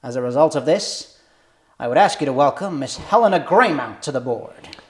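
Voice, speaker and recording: A man's voice: medium pitch, lively intonation, moderate pace, lightly acted. Guy doing stern bulldog board chairman, formal drama read, voice moves around a lot. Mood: formal, grave. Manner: formal, authoritative. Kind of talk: scripted drama table read.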